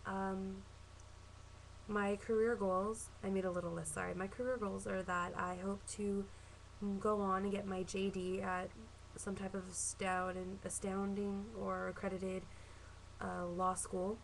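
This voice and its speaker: A woman's voice: medium pitch, little pitch movement, unhurried, vocal fry, a little hiss or hum, poor audio. A woman in her twenties from Southern California with a Southern Californian accent, talking casually to camera about herself, chatty and earnest with frequent ums and self-corrections. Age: 20s. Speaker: vlogger.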